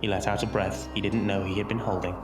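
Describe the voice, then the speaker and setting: A man narrating an audio drama as a scripted story, theatrical and deliberate, with dramatic pauses. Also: on the low side, little pitch movement. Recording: roomy echo under music. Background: music.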